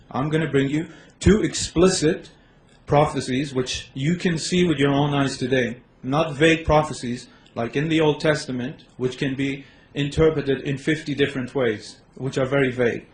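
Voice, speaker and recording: A young man from the Middle East giving an earnest, slightly nervous opening presentation at his first public debate. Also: mid-range voice. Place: live debate hall. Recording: echoey hall, faint background noise.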